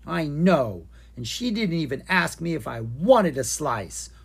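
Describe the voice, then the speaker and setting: An excitable little boy dragon acted by a storybook narrator, plucky and quick to grumble. The voice sits raised, husky and lively. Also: medium pitch, voice pushed up, heavily acted. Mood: grumbling.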